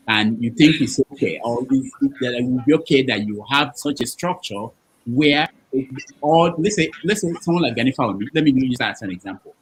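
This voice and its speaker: A man's voice: mid-range voice, poor audio. A man moderates a live panel discussion. His voice sits in a middle register, with a faint hiss of noise behind it. He steps in to hold the floor and then walks the guests through a hypothetical. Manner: cuts in to manage speakers, then poses a long hypothetical. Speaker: host.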